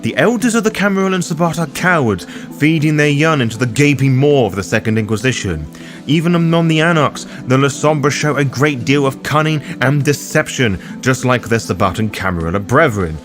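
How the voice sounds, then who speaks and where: A man's voice: mid-range voice, animated, brisk. A man hosting a tabletop roleplaying lore guide, reading scripted explanatory narration. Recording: voice over loud music bed. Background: music.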